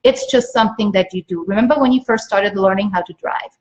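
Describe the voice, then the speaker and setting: A woman from Austria, a native German speaker, speaks as a business coach giving an emphatic, repetitive motivational pitch on a livestream. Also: quick pace, a little mellow. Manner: emphatic, repeats phrases for stress. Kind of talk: motivational live sales pitch to viewers. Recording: dull lo-fi livestream audio.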